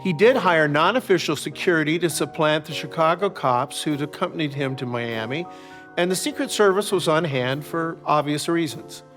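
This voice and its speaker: An older American man giving reflective, explanatory commentary as an author and host, measured and articulate, with parenthetical asides. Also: fairly high pitch, fairly bright tone, soft background music. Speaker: author and series host discussing his novels. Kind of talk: reflective explanatory commentary, semi-scripted narration.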